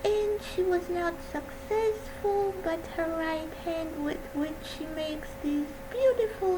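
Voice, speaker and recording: A woman with a soft voice giving a gentle, earnest personal talk on mental health. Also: very high voice, rough recording. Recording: steady background hum.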